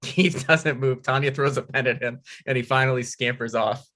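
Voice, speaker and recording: A man's voice: mid-range voice, fairly even intonation, moderate pace, bright, forceful tone. A man reading out scripted stage directions as narrator at a comedy table read.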